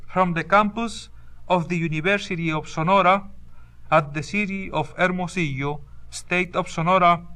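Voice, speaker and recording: A male shortwave announcer with a high, bright voice. He reads a formal station identification with deliberate enunciation, very slowly, on a restored sixties radio recording. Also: smooth.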